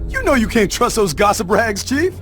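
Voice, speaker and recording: A man voicing a cocky, slangy young fish hustler in an animated video game, with loud music behind him. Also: high-pitched, normal speed. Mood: breezy.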